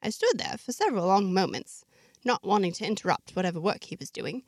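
A woman reads a fantasy tale in the first person, like an entry from her diary, chatting to the listener. Her voice sits at an ordinary middle pitch and is recorded dry and close, with no room sound. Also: very animated, fairly quick, no echo. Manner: chatty, diary-like narration with asides. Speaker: narrator of a serialized fiction podcast. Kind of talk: first-person scripted storytelling.

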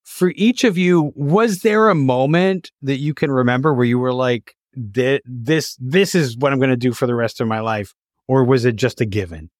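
A man's voice: mid-range voice, moderate pace, no echo, studio quality. A man hosting an interview, putting questions to guests in a conversational style. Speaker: interview host.

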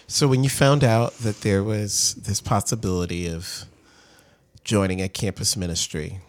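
A man's voice: fairly low pitch, fairly even intonation, unhurried. A man interviewing a guest, asking questions and giving brief encouraging responses. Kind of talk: interview conversation.

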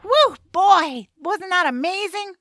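An actor playing a cocky, reckless, excitable female elf fighter in an audio drama, brash and boastful. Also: very high-pitched, slow, heavily acted. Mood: triumphant. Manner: brash, boastful, battle yells. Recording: loud music under the voice.

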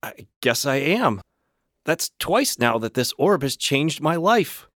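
A man playing a gentle, supportive former frog turned human in an audio drama, speaking simply and sincerely. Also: on the high side, sing-song, slow pace, dry recording, clean studio sound, exaggerated voice. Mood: dazed, wondering. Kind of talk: hobby audio drama acting.

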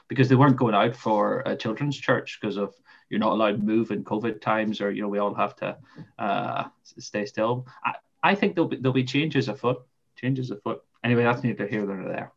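A man with a Northern Irish accent hosting a podcast, with lively, laughing asides. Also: fairly low pitch, fairly quick, gravelly, dull audio. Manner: warm, laughing, animated asides. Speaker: podcast host. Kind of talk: casual podcast chat.

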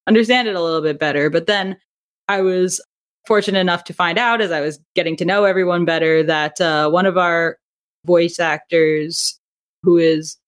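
A woman speaking as a podcast guest in a conversational interview, telling things in a chatty, animated way. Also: deep voice. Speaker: podcast guest, audio drama writer and director.

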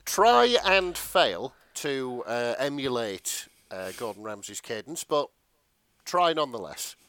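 A man's voice: medium pitch, very animated, slow, a bit bright, dry recording. A man co-hosting a podcast, chatting casually with joking asides. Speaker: podcast co-host. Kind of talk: casual comic banter.

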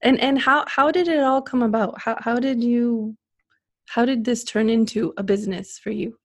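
A woman hosts a relaxed conversation, her voice sitting a little high. She laughs often and strings her questions together loosely. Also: moderate pace. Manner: friendly, laughs a lot, rambling questions. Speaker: interview host. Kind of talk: casual interview chat.

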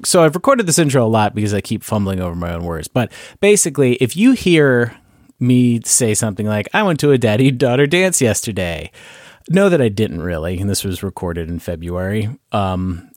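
A man's voice: on the low side, no echo, studio quality. A man from Canada with a slight Canadian accent, hosting a casual comedy podcast and rambling with self-mocking jokes. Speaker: podcast host.

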